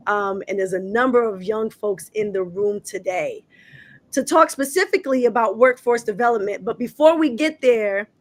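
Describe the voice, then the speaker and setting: A woman's voice: mid-range voice, normal speed. A woman hosting a community talk show with a group of young people, speaking in a conversational way with frequent "um"s. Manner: warm, affirming, conversational with many "um"s. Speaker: host. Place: indoor room with a group.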